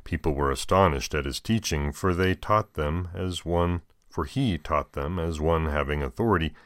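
American male, deep register, dry recording. Deacon hosting scripture readings with informal, deliberately phrased commentary. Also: fairly bright tone, no room sound. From United States.

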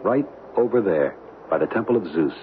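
Man playing a wry husband, lively low voice. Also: fairly quick, lightly acted. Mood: relaxed, wry. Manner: casual, wry, affectionate. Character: easygoing, wry American husband on vacation, keen photographer. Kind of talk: radio drama acting. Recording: tinny, noisy old radio recording.

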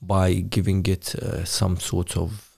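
A man interviewing a guest in casual conversation, talking haltingly with many restarts and fillers. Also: low voice, level tone, vocal fry, darkish tone, breathy edge.

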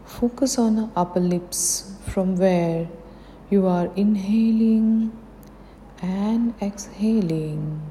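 Indian lady doing guided meditation, really slow n soothing, big echoey reverb w a bit of noise. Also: mid-range voice, dark tone. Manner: soothing, unhurried instruction in short phrases. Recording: long hall reverb, faint background noise.

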